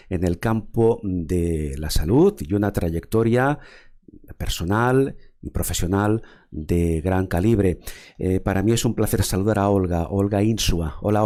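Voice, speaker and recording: A man's voice: lowish voice, a little mellow. A man from hosting a formal interview, speaking in a wordy way with frequent fillers and repeated words. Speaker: interviewer, show host.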